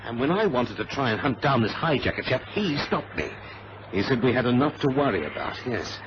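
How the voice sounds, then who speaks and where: An actor playing a suspicious, determined airline man in an old radio adventure, emphatic and halting as he reasons things out. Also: mid-range voice, sing-song, lightly acted. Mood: excited deduction. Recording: muffled, noisy vintage radio recording.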